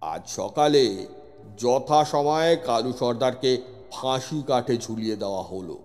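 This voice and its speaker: A man's voice: medium pitch, unhurried, darkish tone. A man narrating a scripted horror story in the first person, with a suspenseful, dramatic delivery. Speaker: narrator, telling the story as a police inspector. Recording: long reverb with music underneath. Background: music.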